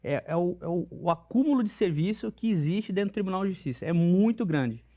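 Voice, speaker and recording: A man's voice: high-pitched, animated, a little fast, dark tone. A middle-aged man from coastal São Paulo, with that Brazilian accent, answers casually as a guest, hesitating and repeating words as he explains. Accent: Brazilian Portuguese, coastal São Paulo. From Brazil, coastal São Paulo state. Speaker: interview guest, court employee and union official.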